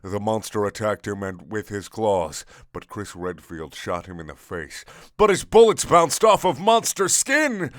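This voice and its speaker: A man's voice: fairly low pitch, sing-song, a little slow, fairly bright tone, dry recording, clean studio sound. A man narrating a comic action story in stilted, broken English with dropped articles.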